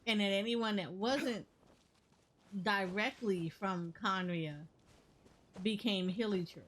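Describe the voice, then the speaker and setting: A woman's voice: mid-range voice, slow pace, fairly bright tone, a little hiss or hum. A woman giving casual game reaction commentary, thinking aloud and often repeating herself. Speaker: gaming streamer. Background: game music.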